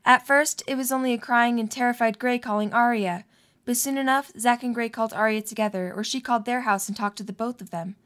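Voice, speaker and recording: Woman, high voice, narrator, scripted fan audio drama storytelling. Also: steady tone, a little fast, fairly bright tone.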